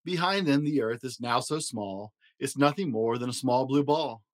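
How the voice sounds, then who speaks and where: A man's voice: medium pitch, moderate pace. Man reading a children's storybook aloud. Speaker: podcast host and story reader.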